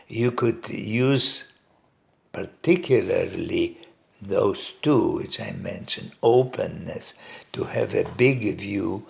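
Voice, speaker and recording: Polish guy, native polish, low voice, super slow, halting reflective talk at home, lots of pauses and little chuckles. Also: creaky. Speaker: meditation teacher. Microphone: recording device set up by himself.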